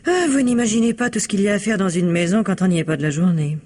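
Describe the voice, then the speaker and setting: Actress as reserved, gossiping shopkeeper's wife, vintage radio mystery. Husky, measured, evasive, quietly venomous. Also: female voice, mid-range voice, close to an everyday voice. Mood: guarded, polite.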